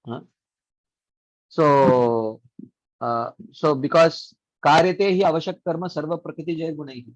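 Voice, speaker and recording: A man teaching scripture on an online call, his voice sitting slightly high for a man and coming through dry and dull. He explains patiently and at length, with frequent hesitations, and recites short verses in a chanting tone. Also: no echo. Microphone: computer mic on a video call.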